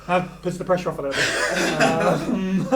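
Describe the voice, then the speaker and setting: A man's voice: high voice, a little slow, over a noisy crowd. A man bantering casually as a quiz contestant, hesitant and self-deprecating. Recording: roomy sound, music behind.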